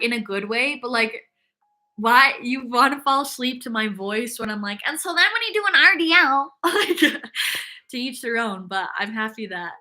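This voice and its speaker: A woman's voice: a little high, sharp tone. A young woman hosting a casual fitness livestream, chatting upbeat and reading viewer comments aloud, with lots of "like" fillers.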